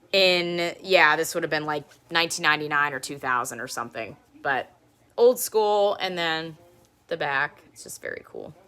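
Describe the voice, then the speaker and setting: A woman in her thirties with a low speaking voice, chatting casually and rambling as she hosts a live-stream show and tell from home, laughing often. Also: measured pace, pushed and bright, smooth.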